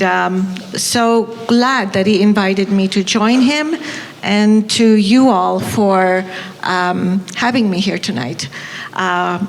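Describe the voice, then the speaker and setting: Older woman, halting heartfelt remarks. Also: mid-range voice. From Tanzania. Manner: warm-hearted, hesitant with fillers. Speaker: moderator. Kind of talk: unscripted opening remarks. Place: auditorium. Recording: reverberant auditorium, faint noise.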